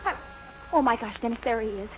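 A woman's voice: a little high, expressive, fast, darkish tone, lightly acted. An actress playing a fretful, bossy young woman, scolding and exasperated, in a 1940s radio sitcom. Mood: exasperated, panicky. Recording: noisy, muffled 1940s radio with audience.

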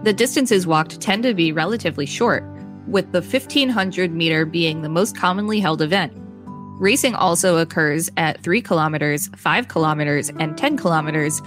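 Female podcast co-host, lowish register, reads reference text with offhand asides, faint music underneath. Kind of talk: reading an encyclopedia article aloud with casual asides.

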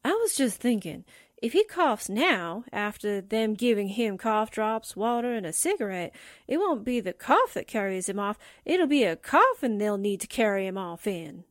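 A woman's voice: mid-range voice, sing-song, normal speed, no echo, studio quality, natural voice. A woman playing a flippant, slangy 1940s newspaperwoman in a radio drama. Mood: flippant, curious. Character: spritely, wisecracking 1940s newspaperwoman.

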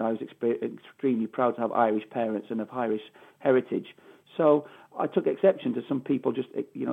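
A man's voice: medium pitch, creaky, breathy edge. A middle-aged man with a Mancunian accent, a former footballer answering interview questions in an emphatic way that repeats phrases for stress, heard down a phone line. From England, Manchester. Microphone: phone call.

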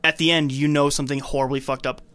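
A man's voice: fairly high pitch, flat delivery, fast, pushed and bright, clear, resonant tone. A man hosting a podcast, giving a scripted film recap with casual commentary. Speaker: podcast host.

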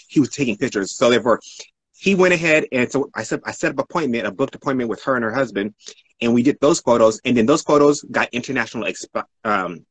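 A man's voice: medium pitch, fairly bright tone, slightly rough, no room sound, low-quality recording. A man being interviewed as a guest, telling stories casually in a rambling way and often correcting himself. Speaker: interview guest, art model.

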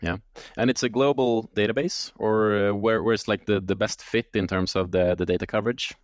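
A man's voice: on the low side, fairly even intonation, moderate pace. A man hosting a podcast, reading a scripted intro, then asking questions hesitantly with many filler sounds. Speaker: podcast host.

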